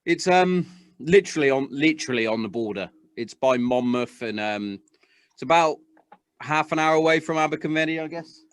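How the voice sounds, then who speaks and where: A man's voice: a little high, animated, normal speed, clean tone, no echo, clean studio sound. A man from Hereford hosting a podcast, chatting in a blokey way and swearing freely. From Hereford, England. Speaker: podcast host. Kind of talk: casual podcast chat.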